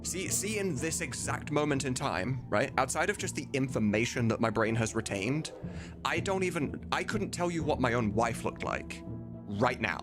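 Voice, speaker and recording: A man streamer talks in a casual, candid ramble, as if answering viewers. His voice sits at an average male pitch. Clear music plays behind him. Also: animated, moderate pace.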